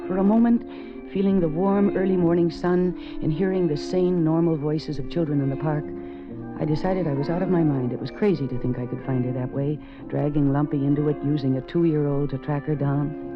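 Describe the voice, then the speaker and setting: A woman's voice: low-pitched, steady tone, a little fast, music in the background, close to an everyday voice. An actress playing a young wife pleading her husband's innocence and narrating the story in an old radio drama. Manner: earnest, pleading, urgent. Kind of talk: 1940s radio acting, first-person narration. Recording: dull old radio recording.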